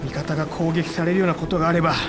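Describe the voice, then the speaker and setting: An actor playing a proud, urgent military officer in a historical war audio drama, forceful and clipped. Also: male voice, a little high, steady tone, very fast, over loud music, natural voice. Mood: urgent resolve. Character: proud, urgent military man giving battle orders.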